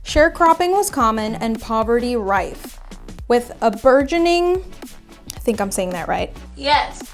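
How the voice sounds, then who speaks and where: A woman's voice: a little high, sing-song, a little slow, faint music behind. A young woman from Puerto Rico, chatting casually and thinking aloud as she reads out her test results. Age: young adult. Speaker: vlogger. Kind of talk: casual reading and commentary on DNA results.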